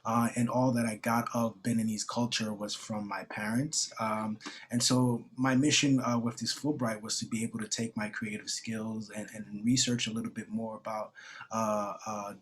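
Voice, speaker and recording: A man's voice: fairly low pitch, flat delivery, normal speed, a little hiss or hum. Hesitant young man on a video call. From New York. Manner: hesitant, many fillers and restarts. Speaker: former grantee. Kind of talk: casual online info session talk.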